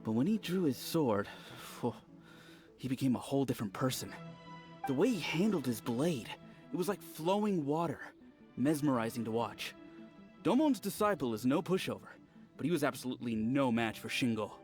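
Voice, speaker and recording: A man's voice: mid-range voice, normal speed, soft background music, natural voice. A man playing a chatty, easily impressed villager in a video game, telling things with relish. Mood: excited, gossipy. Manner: animated, storytelling.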